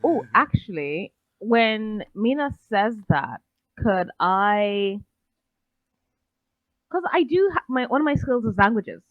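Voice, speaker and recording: A woman playing in a tabletop game, talking in a measured way as she explains and argues her point. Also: medium pitch, sing-song, no room sound, narrow, tinny audio. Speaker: player. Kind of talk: casual tabletop play.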